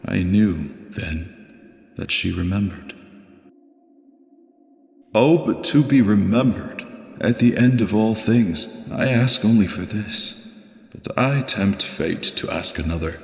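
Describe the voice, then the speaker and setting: Deep, gravelly, brooding scholar acted by a man. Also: lively intonation, full character voice. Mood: wistful. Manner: measured, archaic, poetic diction. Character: brooding, wistful male inventor and scholar reading his journal. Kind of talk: theatrical dramatic monologue, fandub narration. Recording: echoey, reverberant voice-over.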